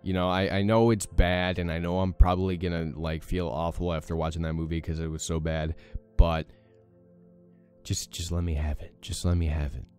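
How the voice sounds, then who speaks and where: A young man with a deep morning voice hosting a let's play, chatting casually with lots of fillers. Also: little pitch movement, moderate pace, darkish tone, faint music behind. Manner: rambling, many fillers, self-deprecating. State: morning voice with a crack. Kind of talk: casual gameplay commentary.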